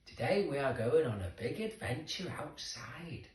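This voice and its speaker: A man's voice: mid-range voice, very animated, measured pace. A man voicing a kind, coaxing little girl in a children's storybook reading. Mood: encouraging, reassuring. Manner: coaxing, calling out. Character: Jenny, a kind, encouraging little girl kitten owner. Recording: roomy echo, distant microphone.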